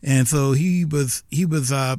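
A man's voice: medium pitch, measured pace, a little mellow, dry recording, studio quality. An older man from South Carolina, a retiring history professor, telling his story in a rambling way, with many restarts and fillers. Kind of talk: unscripted interview.

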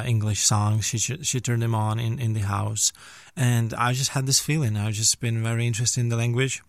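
Male guest, twenties, Ukrainian native, mid-pitched, conversational, recorded close and dry. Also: creaky, no echo. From western Ukraine. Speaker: interview guest, language teacher and student. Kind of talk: conversational interview.

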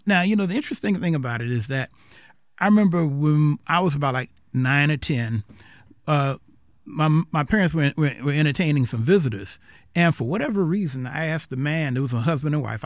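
Older man, halting, rambling storyteller. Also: fairly high pitch, no room sound, clean studio sound. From South Carolina. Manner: rambling, halting, with many restarts and fillers. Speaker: retiring history professor, guest. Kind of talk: unscripted interview.

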